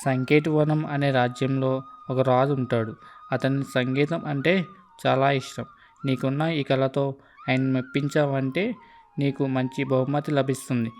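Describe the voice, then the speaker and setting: A man voicing a kindly, measured herdsman who gives advice in a children's cartoon. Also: medium pitch, flat delivery, faint music behind, natural voice. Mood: advising. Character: kindly advising male herdsman, calm helper. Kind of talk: children's cartoon story dubbing.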